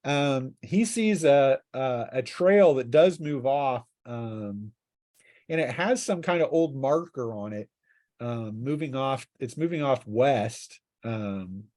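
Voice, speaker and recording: A man casually narrating a tabletop game as game master, often halting to think aloud. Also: medium pitch, animated, darkish tone.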